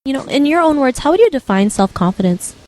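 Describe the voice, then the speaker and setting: A woman's voice: high-pitched, big pitch swings, fast, a little mellow. A woman hosting an interview podcast, upbeat and affirming, with many "you know" fillers. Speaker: podcast host.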